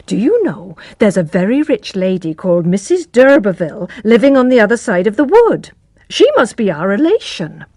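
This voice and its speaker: A woman's voice: mid-range voice, big pitch swings, moderate pace, a little mellow, no room sound, studio quality. A woman voicing a hopeful country mother who speaks in a coaxing, persuasive way.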